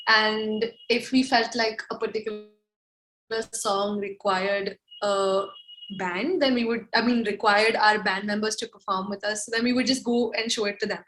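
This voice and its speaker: A young woman, a musician guest answering interview questions in a relaxed, easygoing way. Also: medium pitch, normal speed. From India. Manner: relaxed, laughs often. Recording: roomy echo.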